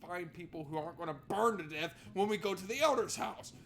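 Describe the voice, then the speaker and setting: A man's voice: sits high, brisk, slight background noise. A man doing let's play commentary on a visual novel, reading narration aloud and heckling it in a sarcastic, exasperated, sweary way. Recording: music behind the voice. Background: game music.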